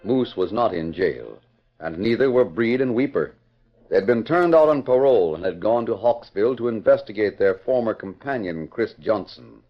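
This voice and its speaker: A man's voice: on the low side, lively intonation, moderate pace. A man giving dramatic scripted narration as announcer of a 1940s radio serial. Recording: dull vintage radio recording, faint music.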